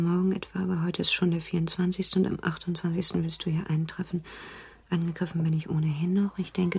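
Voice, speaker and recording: An actress playing a young wife composing a careful letter in an intimate, husky radio drama monologue. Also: female voice, lowish voice, a little mellow. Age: young adult. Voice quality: husky, breathy.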